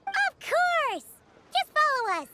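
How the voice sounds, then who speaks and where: A woman voicing a tiny, excitable, childlike fairy companion in a video game, squeaky and exclamatory, naive and a little greedy. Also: slow, heavily acted. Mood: eager. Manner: speaks of herself in the third person, exclamatory. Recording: loud music behind the voice.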